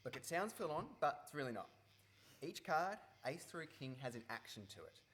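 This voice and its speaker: An actor playing an easygoing young man in a radio play, talking casually with halting explanations, recorded live. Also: fairly high pitch, lively intonation, a bit bright. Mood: patient, explaining. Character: easygoing young man, former farm boy, patient game explainer. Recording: live recording with clear background noise.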